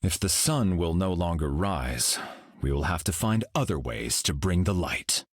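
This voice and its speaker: An actor voicing a serene, solemn golden cosmic hero for a video game, speaking in a calm, measured, grave way. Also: male voice, low voice, breathy edge, no room sound, studio quality, full character voice.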